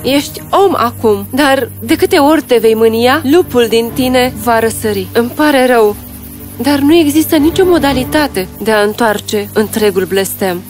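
A woman plays a gentle cartoon witch full of remorse. Her voice is raised and pleading, with music behind it. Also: fairly high pitch, expressive, voice pushed up, close to an everyday voice. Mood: urgent, regretful.

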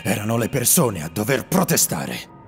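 A man's voice: on the low side, wildly swooping pitch, normal speed, over music. A man voicing a menacing, scheming boss in a parody cartoon, ominous and theatrical. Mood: dismayed.

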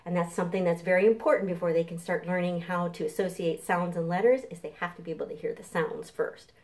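A woman's voice: lowish voice, steady tone, quick pace, a little mellow. A middle-aged woman presenting a preschool storytime as a children's librarian, talking simply and encouragingly to children and their parents. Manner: simple, encouraging talk aimed at small children. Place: home kitchen, indoors. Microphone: camera a short distance away.

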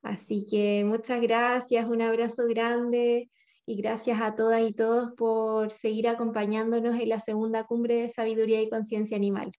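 A woman in her thirties hosting an interview over a video call, speaking slowly with halting, repeated words. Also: on the high side, level tone, dark tone. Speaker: interviewer host. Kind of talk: interview conversation. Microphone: video call webcam. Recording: slightly dull video call audio.

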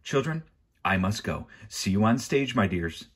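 A man voicing an excitable, dreamy, kindly woman judge in a gushing storybook manner. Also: lowish voice, unhurried, a little hiss or hum. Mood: thrilled.

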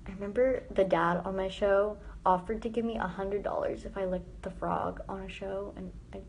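A teenage girl chats loosely with viewers, giggling and drifting into soft, quiet asides. She is recorded up close on a phone's front camera in a small bright room. A faint hiss sits under her voice. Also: mid-range voice, fairly even intonation, normal speed, gravelly. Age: teens. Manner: chatty, giggly, lots of "like", trails into quiet asides. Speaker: live streamer answering viewer comments. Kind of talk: casual live stream chat. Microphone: phone front camera held close.

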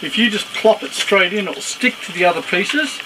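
A man's voice: high voice, lively intonation, moderate pace. A middle-aged man giving casual, matter-of-fact cooking instructions in a small boat galley. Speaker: co-host doing the frying. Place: small sailboat galley indoors. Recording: clear frying and boat noise behind.

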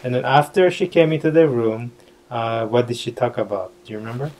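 A man tutoring a learner patiently through a puppet role-play, talking quietly with many pauses and fillers. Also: mid-range voice. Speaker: English tutor. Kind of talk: casual role-play lesson.

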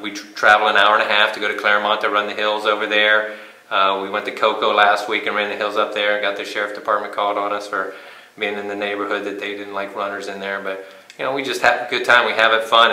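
Bright, forceful man in an echoey room. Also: fairly low pitch. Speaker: high school cross country coach. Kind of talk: interview, informal talk. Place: small bare indoor room.

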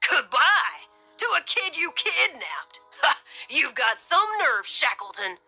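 A woman's voice: sits high, very animated, takes it slowly, bright, forceful tone, faint music behind, pitched above the performer's own voice, heavily acted. A woman voicing a smirking, condescending male police officer with a derisive, mocking delivery, in an audio story. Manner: derisive, sneering.

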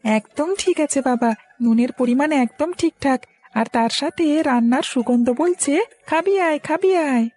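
A woman's voice: high voice, normal speed, a little mellow, soft background music. A woman voicing a cheerful, obedient young schoolboy in a cartoon story. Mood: cheerful, eager. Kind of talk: Bengali cartoon story acting.

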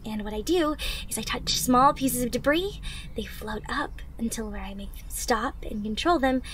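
Woman voicing a high-pitched, bubbly teen hero girl. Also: normal speed, fairly bright tone, a little hiss or hum, lightly acted. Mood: encouraging, explaining. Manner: bubbly, instructional, talking directly to the listener. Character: upbeat, encouraging teenage girl superhero trainee, eager to teach. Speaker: audio roleplay performer. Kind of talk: anime fan ASMR roleplay acting.

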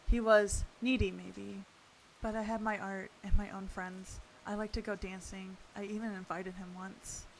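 A thoughtful woman in her thirties, acted by an amateur woman in a comic dub. She talks conversationally at a medium pitch, and a faint hiss sits on the recording. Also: lightly acted, low-quality recording. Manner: casual, conversational. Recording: faint hiss on home mic.

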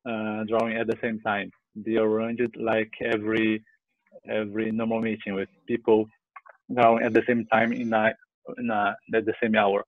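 A man's voice: lowish voice, monotone, normal speed, no echo. Brazilian-accented man on a thin, dry line. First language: Portuguese. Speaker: sketching group organiser. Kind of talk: informal report on a group video call. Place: room at home. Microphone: headset on a video call. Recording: dry, thin phone-like call audio.

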